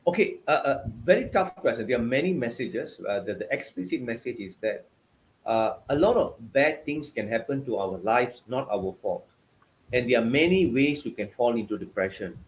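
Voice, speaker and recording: A man's voice: mid-range voice, expressive, moderate pace, slight background noise, rough recording. A man with a Malaysian accent, a guest author giving passionate, emphatic interview answers over a video call. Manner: passionate, emphatic, repetitive phrasing.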